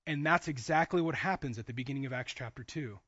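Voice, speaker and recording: A man's voice: medium pitch, quick pace, a bit bright, husky, no echo, dull audio. A man preaching a sermon to a congregation in an emphatic way, acting out imagined dialogue and repeating phrases for weight.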